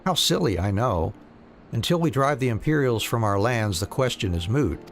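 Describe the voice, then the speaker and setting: A man's voice: mid-range voice, lively intonation, moderate pace, a little hiss or hum, lightly acted. A man voicing a bookish, absent-minded young rebel recruit in a fantasy game, wordy and apologetic. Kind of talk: reading fantasy game dialogue aloud.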